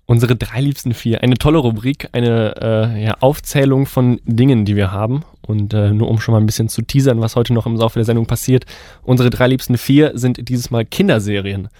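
A man's voice: mid-range voice, steady tone, fairly quick, dark tone, no room sound. Young man, head cold, casual podcast co-host, rambling fillers, dry studio. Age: young adult. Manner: rambling, lots of fillers and restarts. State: has a cold. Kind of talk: casual radio podcast chat.

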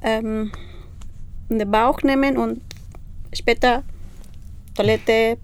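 A woman's voice: fairly high pitch, fairly even intonation, slow, smooth. A woman from Peru who speaks German with a Peruvian Spanish accent, a Spanish teacher talking in a casual interview and often searching for words.

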